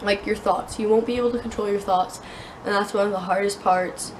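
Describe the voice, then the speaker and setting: A young woman with a sore throat talks into her phone outside, earnest and halting, full of fillers. Her voice sits at an ordinary middle pitch. Wind and outdoor noise are clearly heard behind her. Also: fairly even intonation. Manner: hesitant, many fillers, earnest. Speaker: vlogger. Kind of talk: casual talking-head vlog. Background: wind and outdoor ambience.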